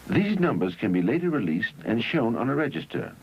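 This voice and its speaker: Dull noisy vintage film recording, man narrator, lively intonation, instructional, measured phrasing. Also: mid-range voice. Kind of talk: scripted educational film narration. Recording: dull, noisy 1971 educational film soundtrack.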